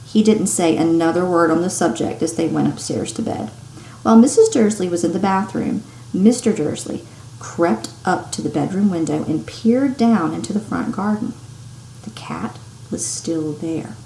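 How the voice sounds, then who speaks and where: Lady teacher doing an animated kids read-aloud, echoey room, bit of background noise. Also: on the low side, moderate pace, gravelly. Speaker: teacher reading aloud to children. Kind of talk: expressive children's story read-aloud.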